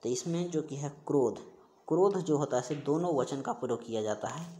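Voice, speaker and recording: A man's voice: a little high, lively intonation, fairly quick, dark tone. A man teaching an exam-preparation class, going through questions and answers in an instructional, repetitive way. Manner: repetitive, instructional, stressing key terms by repeating them. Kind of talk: explaining quiz questions in an online class.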